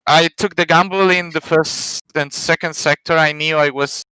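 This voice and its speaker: Bright, crisp-voiced hesitant male driver. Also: fairly high pitch, fairly even intonation, no room sound, low-quality recording. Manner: hesitant, halting with fillers. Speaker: racing driver being interviewed.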